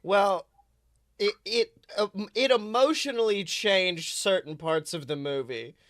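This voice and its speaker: A bright, forceful voice recorded very dry, co-hosting a casual movie podcast. The delivery is animated and emphatic, with loose, conversational phrasing and salty language. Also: medium pitch, takes it slowly, no echo. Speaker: podcast co-host. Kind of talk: casual film discussion podcast chat.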